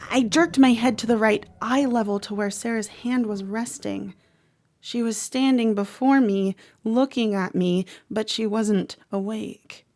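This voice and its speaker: A woman playing a troubled, protective teenage girl who narrates a horror audio drama in a measured, dramatic way. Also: on the high side, steady tone, darkish tone. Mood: hushed, disturbed. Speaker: actor narrating. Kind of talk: first-person horror audio drama monologue.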